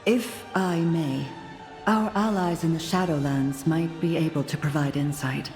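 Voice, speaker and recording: An actress playing a gentle, earnest undead noblewoman in a video game, with a breathy voice and formal, measured delivery. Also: deep voice. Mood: polite, resolute. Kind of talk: video game cutscene acting. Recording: long reverb, music behind.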